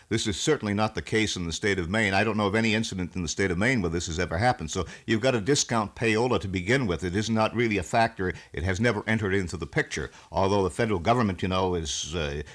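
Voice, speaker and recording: Low-voiced, measured man on talk radio. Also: fairly bright tone. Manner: measured, explanatory, slightly formal. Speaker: radio station host being interviewed. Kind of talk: live radio talk show conversation. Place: radio studio. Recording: 1970s radio broadcast recording.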